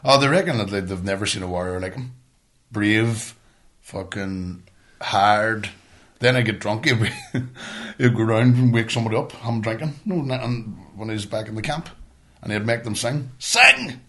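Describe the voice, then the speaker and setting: A Northern Irish man co-hosting a podcast, telling stories in a casual, animated, sweary and rambling way. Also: fairly low pitch, moderate pace. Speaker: podcast co-host. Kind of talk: casual storytelling banter, sweary.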